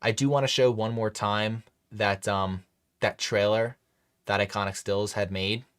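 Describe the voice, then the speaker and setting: A man's voice: fairly low pitch, fairly even intonation, measured pace, fairly bright tone, clear, resonant tone. A man hosting a casual live stream, chatting loosely with many fillers and restarts while reading chat. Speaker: livestream host.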